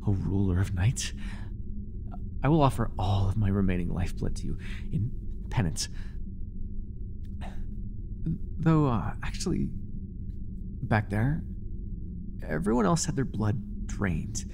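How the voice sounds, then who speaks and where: A man playing a devoted, fawning high priest of a dark god in an audio roleplay, stammering, reverent and overeager, with breathy delivery. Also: expressive, a little slow, long pauses, noisy background. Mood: contrite, anxious.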